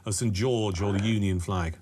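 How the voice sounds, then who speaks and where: A man's voice: fairly low pitch, normal speed, a little mellow, a little hiss or hum. A man hosting a live breakfast talk radio show, chatty and upbeat, steering guests and callers. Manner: chatty, upbeat, leads the conversation.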